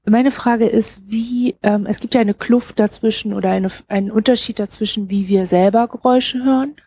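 A woman with a dark, husky voice and a middling pitch asks a question from the audience. She speaks informally and hesitantly, working out her wording as she goes. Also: fairly even intonation, moderate pace. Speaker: audience member asking a question.

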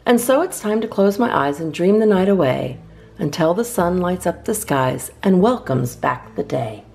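A low-voiced woman reads poems aloud to children with theatrical emphasis. Her intonation swings widely and her voice has a creaky edge. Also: animated, normal speed, darkish tone, soft background music. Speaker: storytime host reading a picture book.

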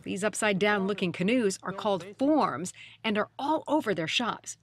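A woman's voice: mid-range voice, animated, normal speed. Wry female voice-over narrator. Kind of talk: scripted narration. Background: background music.

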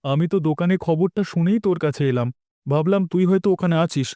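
Man acting a high-voiced, concerned village father. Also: fast talker, warm tone, no echo, close to an everyday voice. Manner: informing, concerned. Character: village shopkeeper father bringing worried news to son. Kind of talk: cartoon dialogue acting.

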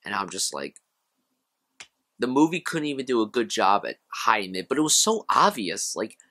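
A man's voice: on the low side, big pitch swings, normal speed, sharp tone. A young American man of about college age, talking casually and conversationally to camera as an opinionated movie reviewer. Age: young adult, around college age. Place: small room at home, indoors.

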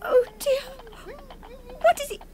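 A woman's voice: very high-pitched, slow pace, warm tone, a little hiss or hum. An actress playing a timid, easily horrified lady companion in a period radio comedy, fretful and wailing. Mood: distressed, fretful. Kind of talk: radio drama acting of a period comic story.

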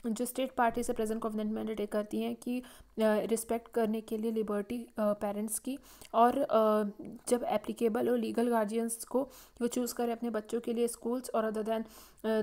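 A woman's voice: fairly high pitch, level tone, moderate pace, mellow. A woman giving a slide lecture on a legal text, reading through it with frequent "uh" fillers and restarts, mixing in English legal terms. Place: voice-over on slides.

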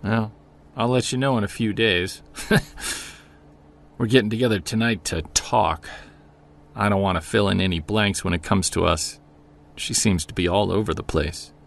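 A man's voice: on the low side, moderate pace, vocal fry, pauses often. An actor playing a weary, stressed male investigator confiding in an audio log, hesitant and downbeat, as in an audio drama. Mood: tense. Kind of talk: audio drama, confessional narration.

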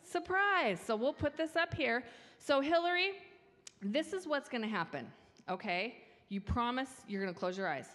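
A woman's voice: sits high, normal speed. A middle-aged woman leading a playful children's talk with a handheld mic on a church stage. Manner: animated, playful, talks to kids. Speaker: children's story leader. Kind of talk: lively children's talk with a game. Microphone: handheld microphone. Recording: reverberant church hall.

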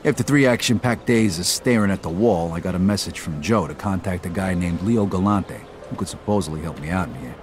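A man's voice: deep voice, fairly quick, few pauses, faint music behind. A man narrating his own story with wry, world-weary, streetwise remarks in game voice-over. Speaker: narrator and protagonist.

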